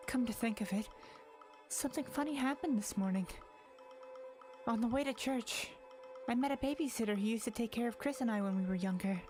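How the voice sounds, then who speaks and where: A timid teenage girl, voiced by a woman in a visual novel dub. She stammers, pleads and drops into breathy whispers when upset, fragile and easily shaken. Music plays softly behind her. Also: fairly high pitch, fairly quick, lightly acted. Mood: nervous, giddy. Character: timid, distraught teenage girl slowly turning giddy. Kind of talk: visual novel fan dub, dramatic reading.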